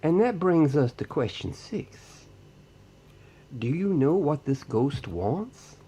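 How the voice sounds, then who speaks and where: An actor playing a short, polite hillbilly ghost hunter's assistant in an audio drama, folksy and deferential. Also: male voice, fairly high pitch, very animated, takes it slowly, mellow, breathy edge, heavily acted. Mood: polite, businesslike questioning. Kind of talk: audio drama character dialogue.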